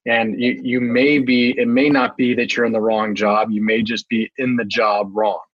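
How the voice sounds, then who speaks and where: A man's voice: mid-range voice, level tone, normal speed, dry recording. A man hosting a faith-minded interview podcast, thinking aloud and offering advice. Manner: reflective, thoughtful advice. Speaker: podcast host. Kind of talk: conversational interview. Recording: dull, dry lo-fi sound.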